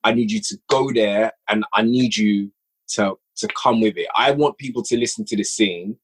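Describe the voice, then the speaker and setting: Low-voiced man, reflective podcast guest. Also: moderate pace, slightly rough, low-quality recording. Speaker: podcast guest, audio drama writer and director. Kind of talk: casual interview chat.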